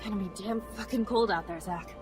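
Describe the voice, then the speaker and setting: A woman's voice: mid-range voice, a little fast, over music, natural voice. A woman playing a wry, salty-tongued rover mechanic in a game cutscene, talking casually. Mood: casual, doubtful. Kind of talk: video game cutscene acting.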